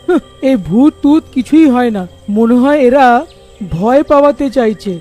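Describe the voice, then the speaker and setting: A naive young cartoon man, acted by a woman, curious and sceptical. The voice is high yet mellow, with an exclamatory delivery. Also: lively intonation, normal speed, soft background music. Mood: puzzled. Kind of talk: cartoon dubbing.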